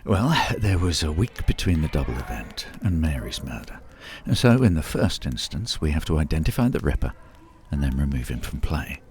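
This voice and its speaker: A man acting as a confident, secretive ex-marine in an audio drama, measured and assertive. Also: extremely low pitch, sing-song, a little mellow. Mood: assured, explaining. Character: secretive, confident ex-marine man plotting a time-travel plan.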